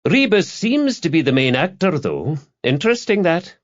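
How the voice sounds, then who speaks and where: A man playing a sly, higher-pitched male reporter. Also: sing-song, moderate pace, muffled recording. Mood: probing. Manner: insinuating, conversational, fishing for information. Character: sly, probing male newspaper reporter, needling and insinuating.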